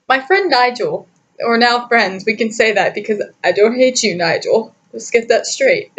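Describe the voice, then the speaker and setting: A young adult woman telling a personal story in a casual, chatty, animated way, giggly and often interrupting herself. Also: mid-range voice. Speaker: storytime vlogger.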